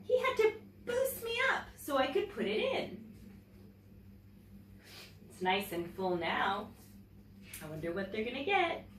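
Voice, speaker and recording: A woman teacher reading a picture book aloud to children in an expressive storytelling manner, pausing to comment and ask questions. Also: sits high. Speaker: teacher and story-time host. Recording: roomy, distant mic, faint background noise.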